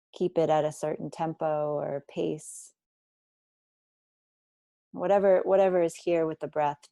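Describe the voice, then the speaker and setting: A woman guiding a body scan meditation, speaking slowly and deliberately with gentle instructions and long pauses. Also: low voice, no echo, clean studio sound. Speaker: meditation teacher.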